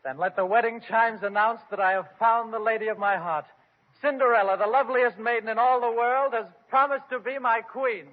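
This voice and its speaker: An actor playing an ardent, commanding young prince in a formal, theatrical fairy-tale radio drama. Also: male voice, very high voice, fairly even intonation, fairly quick. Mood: ardent, romantic. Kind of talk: old-time radio romantic drama. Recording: muffled old radio recording with music.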